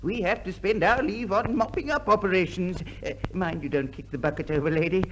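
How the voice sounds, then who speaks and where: High-voiced doddering army officer. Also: male voice, fairly quick, a bit bright. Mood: flustered, pompous. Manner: muttering hesitations, repeats himself. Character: doddering, blustering male army commanding officer, vague and pompous. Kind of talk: 1950s radio comedy acting. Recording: noisy vintage 1950s radio recording.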